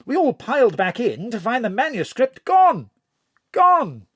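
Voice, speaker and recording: An actor playing an excitable, flowery middle-aged government chief in an audio drama, with theatrical, flustered phrasing. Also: male voice, extremely high pitch, measured pace, husky, dry recording, clean studio sound, full character voice. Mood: confiding, dramatic. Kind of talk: audio drama acting over a phone call.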